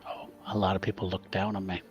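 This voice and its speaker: A man's voice: low-pitched, level tone, fast talker, faint music behind, close to an everyday voice. A man playing an unassuming, shrewd, dryly patient character with an understated manner, in an improvised tabletop game on muffled audio. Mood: dry, wry.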